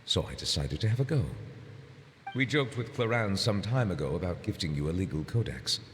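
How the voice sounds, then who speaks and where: An actor playing a courteous, solemn dignitary in a video game, speaking in formal, measured, ornate phrases. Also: male voice, sits low, animated, slight background noise. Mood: courteous, earnest. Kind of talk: video game dialogue acting.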